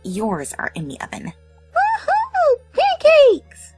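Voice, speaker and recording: Man putting on a very high-pitched girlish cartoon pony. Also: wildly swooping pitch, slow, exaggerated voice. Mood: gleeful. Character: young female cartoon ponies, bickering housemates, excitable or weary. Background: game music.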